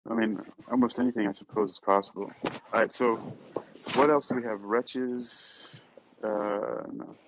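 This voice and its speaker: A man's voice: on the low side, steady tone, moderate pace, background noise. A man chatting casually as a podcast host about poetry, questioning things in a playful, mock-exasperated manner. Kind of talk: casual chat discussing and reading poetry. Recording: dull lo-fi sound.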